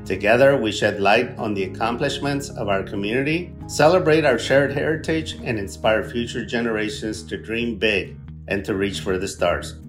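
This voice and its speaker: A man's voice: fairly low pitch, normal speed, over music. A man hosting a podcast, reading an upbeat scripted introduction and then asking questions with some hesitation. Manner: earnest, promotional delivery with fillers in questions. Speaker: podcast host.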